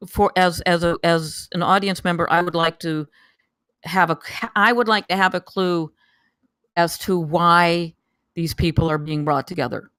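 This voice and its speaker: A person giving casual spoken feedback on a script, haltingly, often repeating words and phrases. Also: medium pitch, steady tone, sharp tone, smooth, dry recording. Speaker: table read participant giving script feedback.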